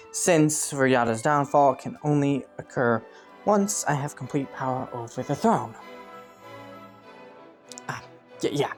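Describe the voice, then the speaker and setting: Young prince female performer: low voice, courtly and calculating yet easily flustered, intimate roleplay addressed to the listener, music underneath. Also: a little mellow. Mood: formal, firm. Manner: formal courtly phrasing with flustered stammers.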